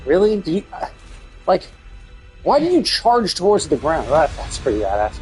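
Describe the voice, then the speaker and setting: Animated, higher-pitched male game streamer. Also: normal speed, rough recording. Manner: sweary, rambling reactions. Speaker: livestreamer. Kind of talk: casual live gameplay commentary. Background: game music.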